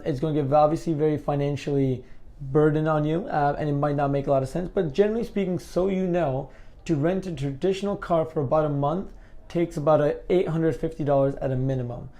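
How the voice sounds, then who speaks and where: Male explainer host, slightly high register, relaxed mellow tone, informal conversational delivery. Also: creaky. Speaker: host of an advice video on car rental. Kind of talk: casual explainer to camera.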